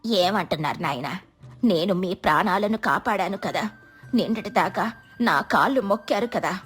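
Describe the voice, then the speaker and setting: Storybook old healer woman, female narrator acting, motherly, pleading, slightly rough-edged voice over light music. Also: mid-range voice, moderate pace, fairly bright tone. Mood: puzzled, hurt. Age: older. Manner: motherly, pleading, addresses villagers as children. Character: kindly, scolding old village woman healer.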